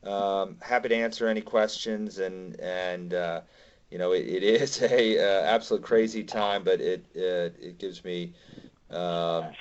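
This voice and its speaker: A man with a deep voice briefs members on a conference call. He speaks off the cuff and pauses often, and the audio is dull and lo-fi. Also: clean tone. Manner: halting, with many pauses. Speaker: advocacy staff briefing members. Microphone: conference phone call.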